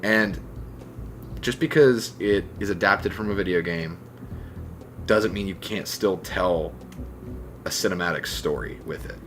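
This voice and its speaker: Low-voiced man, opinionated podcast talk. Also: a little slow, pauses often, a bit bright, soft background music. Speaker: podcast co-host. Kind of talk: casual opinionated podcast discussion.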